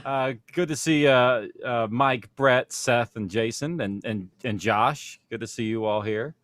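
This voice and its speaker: A man talking casually and haltingly, in a jokey way, as a panelist on a video call. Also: medium pitch, a bit bright, no echo.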